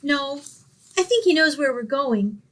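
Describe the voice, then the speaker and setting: A woman's voice: high voice, lively intonation, measured pace. A woman acting a sweet, naive and sentimental young woman in an old-time radio comedy, earnest and pleading. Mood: anxious, naive. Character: sweet, dim-witted, sentimental young woman, fretful and literal-minded.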